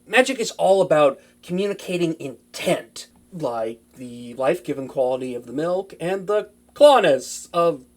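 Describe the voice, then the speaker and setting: A performer voicing an earnest, flustered young wizard in an intimate fantasy audio drama, chatty and prone to anxious stammering. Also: medium pitch.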